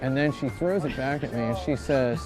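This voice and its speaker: A man's voice: medium pitch, a little fast, darkish tone, poor audio. Rambling self-mocking man in his thirties. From Indiana, USA. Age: 30s. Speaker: video game reviewer. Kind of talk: anecdotal storytelling in a review video. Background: music.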